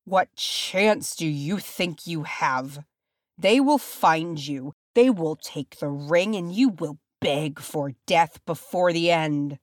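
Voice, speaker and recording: A woman's voice: on the low side, big pitch swings, measured pace, hardly any pauses, slightly rough, no echo, studio quality, heavily acted. A woman voicing a proud male warrior in a fantasy story, bitter and menacing, with a snarling, hissing delivery. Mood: menacing fury.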